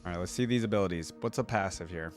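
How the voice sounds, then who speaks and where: A man with a fairly low voice reacts to game videos in a casual, informal way. He thinks aloud and drops in short interjections. Music plays clearly behind him. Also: fast. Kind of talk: casual gaming reaction commentary.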